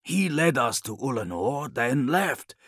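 A male narrator as a grave warlord khan from an audiobook, proud and brooding. His voice is gravelly and dry, with slow, deliberate phrases that rise and fall expressively. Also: mid-range voice, big pitch swings, fairly bright tone, dry recording, voice pushed up, heavily acted. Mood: brooding, resolute. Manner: measured, deliberate, formal phrasing.

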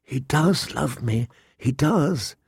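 A man acts out a young noble girl from a fantasy storybook. The voice is soft and tremulous, anxious yet devoted. It is recorded dry and close. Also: mid-range voice, lively intonation, moderate pace, gravelly, breathy edge, no echo, heavily acted. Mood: hopeful.

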